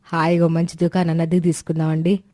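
Woman as village wife, lowish pitch, devoted, plain-spoken, homely, story dialogue, dry sound. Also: little pitch movement, quick pace, warm tone, no room sound, close to an everyday voice. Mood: agreeable. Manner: homely, colloquial. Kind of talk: animated moral-story dialogue.

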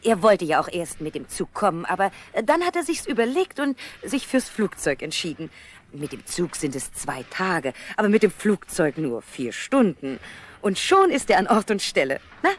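An actress playing a brisk young woman passing on a message, explaining emphatically, in a 1970s East German radio play. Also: fairly low pitch, sing-song. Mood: emphatic, impatient. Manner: emphatic, explaining patiently to an old man.

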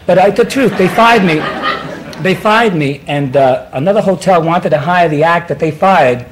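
A rambling, giggly man with a Puerto Rican accent, sitting as a guest on an old television talk show. His voice sits a little high, and he drops hip slang as he talks. The sound comes from a vintage broadcast with clear hiss under it. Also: normal speed. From Puerto Rico. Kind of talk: rambling comic chat. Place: television studio set. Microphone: stand mic.

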